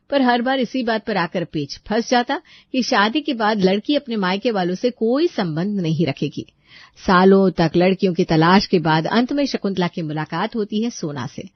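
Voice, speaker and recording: A woman's voice: medium pitch, no room sound, dull audio. A woman narrating a scripted comic story, chatting to the listeners as she tells it.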